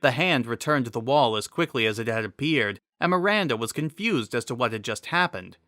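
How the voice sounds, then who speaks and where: Bright-voiced man narrating dramatically. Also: medium pitch, animated, a little fast, dry recording, studio quality. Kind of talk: dramatic scripted story narration.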